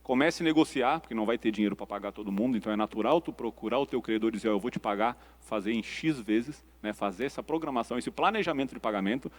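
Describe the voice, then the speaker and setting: A man's voice: medium pitch, animated, fast, few pauses. A Brazilian man speaking as a guest financial adviser, explaining things in a casual, practical and colloquial way. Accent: Brazilian Portuguese. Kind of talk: casual explanatory interview talk.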